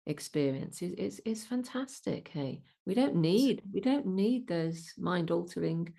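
A woman's voice: lowish voice, animated, a little slow, vocal fry, dark tone. A woman from the English Midlands hosting a conversational interview, asking encouraging questions and affirming her guest. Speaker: interview host.

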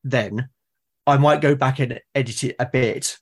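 A man's voice: medium pitch, steady tone, unhurried, husky. A man in a conversational interview as a podcast guest, explaining his creative process and often repeating words as he thinks aloud. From Britain.

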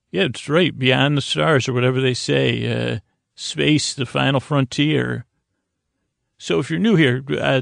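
Gravelly, creaky man rambling softly along. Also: mid-range voice, no echo, studio quality. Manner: meandering, halting, repetitive, trails off mid-sentence. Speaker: podcast host. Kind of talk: rambling improvised sleep podcast monologue.

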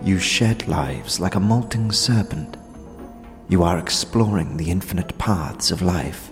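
An actor playing a gentle, solemn otherworldly tattooist creature in an audio drama, speaking gravely and with measured reverence. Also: male voice, sits low, big-room sound. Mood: grave, admiring. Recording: music behind the voice.

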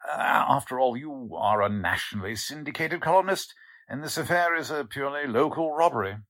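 Male actor as humble office clerk, raspy edge, polite, earnest, hesitant, vintage radio drama. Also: mid-range voice, animated, a little fast, fairly bright tone. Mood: hesitant, diplomatic. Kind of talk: 1940s radio drama acting.

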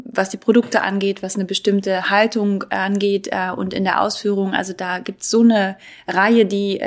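A woman who co-founded a hotel, talking earnestly as an interview guest, with some hesitation. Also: medium pitch. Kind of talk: interview talk.

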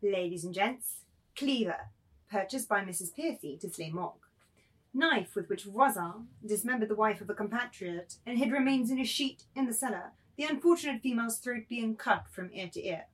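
A woman's voice: fairly high pitch, lively intonation, normal speed, close to an everyday voice, low-quality recording. A woman acting coarse, showy male rogues in a declamatory dramatic table reading. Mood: grisly, showy. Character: coarse soldier and grisly showman hangman.

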